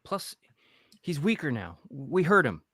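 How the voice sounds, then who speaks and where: A woman reads a hunted survivor in a horror script, grim but resolute. The pitch sits low for a woman yet moves around in a very lively way, as in a live table read. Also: unhurried, slightly rough, full character voice.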